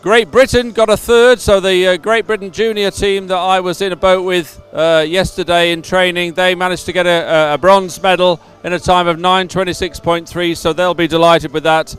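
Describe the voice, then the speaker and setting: A man reporting live outdoors at a sports event, informally reading out results and halting over figures. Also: sits high, steady tone, fairly bright tone, clean tone, faint music behind. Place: outdoors at medal ceremony area.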